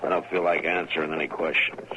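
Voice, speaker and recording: Sick, weary American western marshal, deep voice, terse, grumpy, vintage radio drama, noisy recording. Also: male voice, flat delivery, fast. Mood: ailing, irritable. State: sick and worn out. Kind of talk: 1950s radio western acting.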